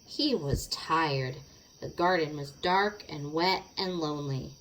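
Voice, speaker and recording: A woman's voice: low voice, takes it slowly, fairly bright tone, slight background noise, low-quality recording. A woman narrating a children's storybook expressively, stretching words for dramatic effect. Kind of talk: expressive children's read-aloud.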